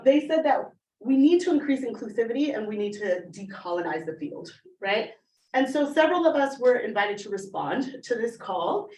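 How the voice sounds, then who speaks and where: A woman giving an academic conference talk in a conversational way, often checking in with the audience by asking "right?". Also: fairly high pitch. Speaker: lecturer. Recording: echoey room, voice far from the mic.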